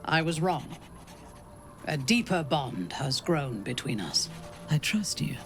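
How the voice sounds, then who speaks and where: A woman playing a proud, vengeful, long-lived dark elf noblewoman in a video game, speaking in a measured, guarded, formal manner. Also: sits low, big pitch swings, heavily acted. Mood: tender, candid. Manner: measured, deliberate, grave, formal phrasing. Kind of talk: dramatic video game acting. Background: background music.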